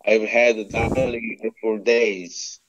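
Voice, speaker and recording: A man with a Korean accent, a native Korean speaker, slowly repeating English phrasebook sentences on a phone call, with small slips. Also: fairly low pitch, level tone, background noise. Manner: slow, careful repetition with small slips. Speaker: phone English practice partner. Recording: muffled phone line.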